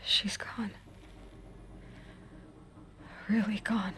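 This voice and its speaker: A woman's voice: medium pitch, little pitch movement, a little slow, a bit bright, lightly acted. A woman acting an earnest, determined and idealistic young heroine in a video game cutscene, with an intimate delivery. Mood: grieving.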